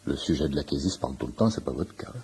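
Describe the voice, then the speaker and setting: A man's voice: a bass voice, expressive, quick pace, a little mellow, husky, heavily acted. A man playing a talkative, nosy shopkeeper who eagerly explains homeopathy in a pedantic way, in a French radio play. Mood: confiding. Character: chatty, nosy shirt-shop owner, amateur homeopathy enthusiast in waiting room. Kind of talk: naturalistic French radio comedy-drama acting.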